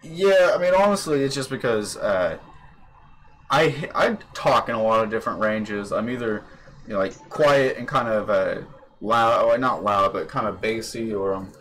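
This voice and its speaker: A man's voice: on the low side, moderate pace, a bit bright, rough recording. Young male host, loose rambling delivery full of fillers, voice-call mic with a light hum underneath. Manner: rambling, swears casually, many fillers. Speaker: podcast host. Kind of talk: casual chat. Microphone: computer microphone on a voice call.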